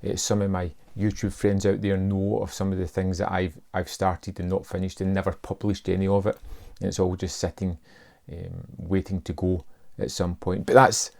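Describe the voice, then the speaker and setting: Low gravelly man musing to camera. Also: level tone, moderate pace, darkish tone. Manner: reflective, hesitant with fillers and restarts. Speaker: film review channel host. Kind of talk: casual talk to camera.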